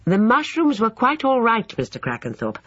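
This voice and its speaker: A man's voice: mid-range voice, big pitch swings, fairly quick, husky, no room sound. A male narrator voicing a sensible, prim young woman housekeeper, on a dull lo-fi recording.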